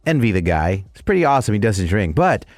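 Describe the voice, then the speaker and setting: A man's voice: on the low side, big pitch swings, quick pace, no room sound. A man hosting a morning radio show, chatting loosely and laughing often with his co-hosts. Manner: loud, laughs often, joking. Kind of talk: casual morning radio banter.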